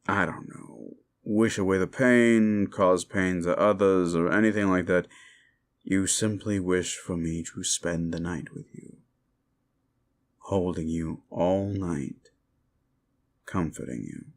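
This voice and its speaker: A man playing a sinister, mocking demon in a roleplay ASMR style, slow and theatrical, with a gravelly voice and condescending, drawn-out words. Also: low voice. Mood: condescending, menacing. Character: sinister mocking male demon, condescending soul-hungry tempter. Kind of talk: roleplay comfort ASMR acting.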